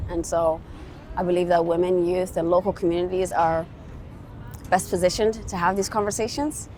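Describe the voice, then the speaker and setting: A woman's voice: on the low side, fairly even intonation, normal speed, dark tone, a little hiss or hum. A woman from Somalia giving earnest answers as an interview guest about her work in advocacy. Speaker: interview guest, peace organisation executive.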